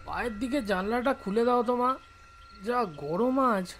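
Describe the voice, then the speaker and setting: A man's voice: very high voice, animated, unhurried, fairly bright tone, soft background music, exaggerated voice. An actor playing a fearless, cocky teenage boy, brash and nonchalant, in an audio drama. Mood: nonchalant, dismissive.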